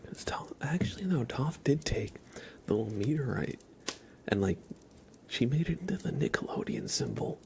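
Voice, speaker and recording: A man chatting casually and softly as an ASMR livestream host, often slipping into a whisper. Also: mid-range voice, very animated, moderate pace, gravelly. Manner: soft-spoken, often dropping into a whisper. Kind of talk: ASMR, casual rambling chat with live viewers.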